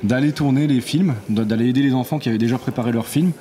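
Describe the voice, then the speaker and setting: Male technical team member, soft-edged mellow tone, mid pitch, self-correcting unscripted explanations. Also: fast.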